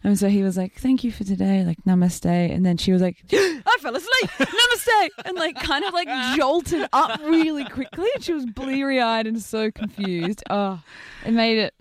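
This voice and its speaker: Croaky-voiced cheeky Australian woman. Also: a little high, normal speed. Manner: cheeky, lively storyteller, laughs easily. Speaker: radio show co-host. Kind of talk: casual studio banter. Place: radio studio. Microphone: studio microphone.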